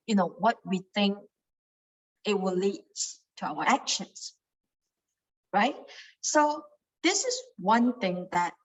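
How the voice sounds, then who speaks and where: A woman's voice: medium pitch, rough recording. A young woman from Malaysia with a Malaysian English accent, sharing a personal experience with a study group, hesitant, with many fillers and repeated words. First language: Cantonese.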